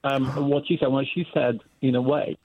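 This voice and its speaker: Measured male caller on a phone line. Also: medium pitch, steady tone. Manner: measured and explanatory, a bit halting.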